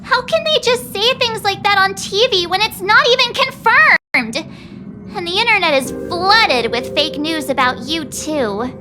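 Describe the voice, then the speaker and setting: Very high, expressive teenage girl. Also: measured pace, music in the background. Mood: indignant. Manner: expressive, chatty, melodramatic. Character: bubbly, clingy teenage girl adventurer, loyal and pouty. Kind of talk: video game dialogue.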